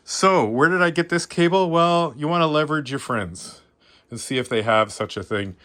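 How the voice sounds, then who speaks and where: A man's voice: fairly high pitch, moderate pace, pushed and bright. A man with a Canadian accent giving a casual, unscripted hobbyist walkthrough in a modest, self-deprecating way. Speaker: amateur radio hobbyist giving a tour.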